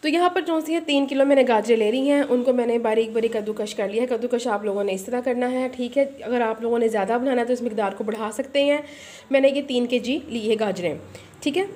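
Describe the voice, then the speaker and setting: A woman hosting a home cooking channel, chatting directly to viewers as she demonstrates a recipe step by step at her kitchen stove. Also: a little high. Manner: chatty, addresses viewers directly, often adds a pious phrase. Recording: echoey kitchen with loud sizzling and clanking pans.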